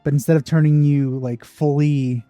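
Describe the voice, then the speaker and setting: A man's voice: on the high side, fairly even intonation, moderate pace, dark tone, no room sound, faint music behind. A man giving casual let's play commentary as a gaming channel host.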